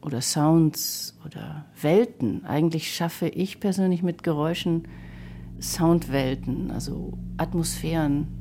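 A woman's voice: deep voice, measured pace, mellow, a little hiss or hum. A woman speaking reflectively in an interview, explaining her craft as a sound artist. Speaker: sound artist and radio drama maker. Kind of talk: interview statement about craft.

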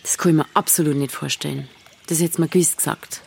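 Low-voiced, blunt Bavarian village woman. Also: steady tone, a little fast, vocal fry, darkish tone, husky, exaggerated voice. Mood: reflective, blunt. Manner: dry, plain-spoken, laconic. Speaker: lead actor and narrator. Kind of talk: radio drama narration and dialogue.

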